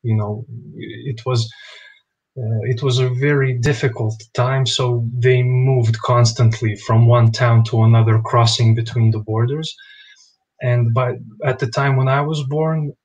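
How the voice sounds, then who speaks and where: A young man from Bosnia, a guest answering interview questions over a video call in a relaxed, thoughtful way. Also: mid-range voice, measured pace, dull audio. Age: young adult. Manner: relaxed, thoughtful, "you know" fillers. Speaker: basketball player guest. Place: small home room.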